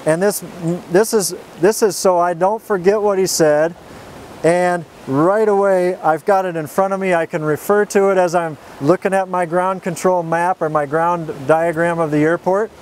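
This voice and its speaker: A man's voice: high-pitched, darkish tone, a little hiss or hum. A man working as a flight instructor, giving an informal, straightforward tutorial with folksy step-by-step explanations. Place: outdoors.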